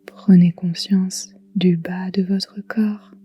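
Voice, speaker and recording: A woman guiding a relaxation meditation in a soft, whispery, calm and soothing voice, leaving long pauses. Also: on the low side, dull audio. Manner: soft, breathy, whispery delivery. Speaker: yoga nidra guide.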